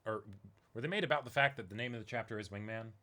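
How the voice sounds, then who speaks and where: A man's voice: mid-range voice, racing, sharp tone. A man co-hosting a livestream, thinking aloud in rambling, casual banter. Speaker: streamer co-hosting a live reading stream.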